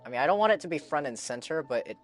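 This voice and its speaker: A man doing casual live game commentary as a streamer, thinking aloud in a halting way. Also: a little high, expressive. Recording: faint game music behind.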